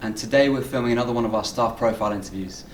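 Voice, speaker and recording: A young man who presides over a student society, hosting an interview in a quick, cheerful way with plenty of mm-hmms. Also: medium pitch, roomy sound, recorded from a distance, slight background noise. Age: young adult. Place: dental school, indoors.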